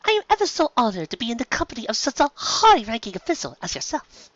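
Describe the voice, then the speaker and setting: A woman's voice: fairly high pitch, big pitch swings, fast, fairly bright tone, no room sound, voice pushed up. A woman voicing a refined young mare, courteous and dramatic, with genteel, formal phrasing in a fan story reading. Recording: slightly dull, lo-fi recording.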